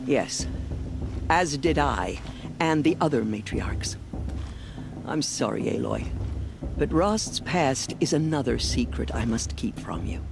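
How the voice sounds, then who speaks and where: Old tribe elder, gentle n formal, slow w lots of pitch movement, game style. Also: female voice, big pitch swings, creaky, over music, heavily acted. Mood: solemn. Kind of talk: solemn video game acting.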